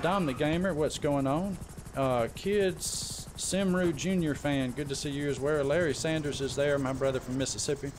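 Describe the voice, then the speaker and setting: Chummy male livestream host over game noise. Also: medium pitch. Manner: chummy, informal, addressing viewers by name. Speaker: live stream host. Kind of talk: casual gaming livestream chat, shout-outs.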